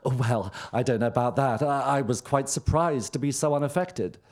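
A man voicing a polite, self-conscious young English gentleman in a novel reading, courteous and diffident. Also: mid-range voice, normal speed, close to an everyday voice.

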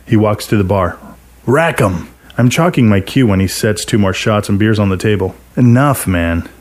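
Guy narrating a first person short story, kinda low voice. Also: fairly even intonation, brisk, darkish tone.

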